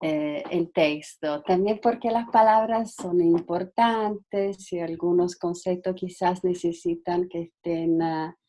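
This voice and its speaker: Italian-native woman guest speaker, slow halting delivery, mellow tone, video-call sound. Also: on the low side, fairly even intonation. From Italy. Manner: hesitant, halting with frequent fillers and restarts. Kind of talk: online talk.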